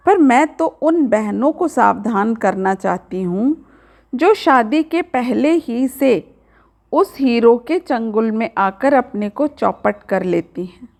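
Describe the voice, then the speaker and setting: High-pitched woman storyteller with unhurried delivery. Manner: conversational, addressing listeners directly. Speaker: storyteller reading a short story aloud. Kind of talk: expressive first-person literary narration.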